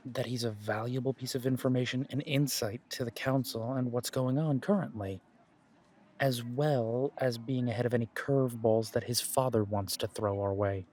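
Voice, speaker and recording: A man's voice: mid-range voice, steady tone, moderate pace, a little mellow, close to an everyday voice. A man playing a caring, easygoing werewolf pack leader in an audio roleplay, talking in a casual, conversational way with trailing phrases. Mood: wry, calm.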